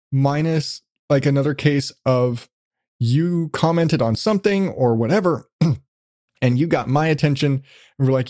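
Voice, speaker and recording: A man's voice: on the high side, darkish tone, no room sound, clean studio sound. A man hosting a casual podcast interview, talking in a rambling, self-deprecating way with casual swearing. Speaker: podcast host.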